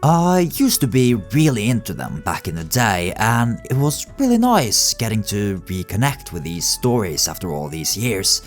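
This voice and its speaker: A man's voice: on the low side, very animated, normal speed, few pauses. A man giving scripted comedic narration as a video essay host, in a wry, tongue-in-cheek way. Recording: music behind the voice. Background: background music.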